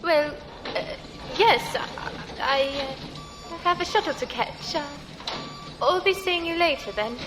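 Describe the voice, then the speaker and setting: A self-doubting female runaway in a sci-fi audio drama. Her voice is high, and she talks slowly and haltingly in a timid way. Music plays behind her. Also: low-quality recording. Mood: nervous, resigned. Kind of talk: science fiction audio drama acting.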